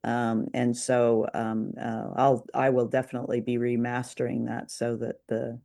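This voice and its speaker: Creaky-voiced man hosting a casual chat. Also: mid-range voice, monotone, measured pace, mellow. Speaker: show host. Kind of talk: casual two-person review chat about old radio drama.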